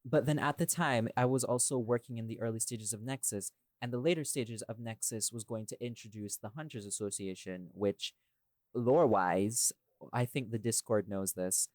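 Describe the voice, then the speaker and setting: Man, medium pitch, casual podcast chat, rambling, irreverent, sweary, dry close recording. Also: moderate pace, darkish tone, dry recording. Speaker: podcast host and voice actor.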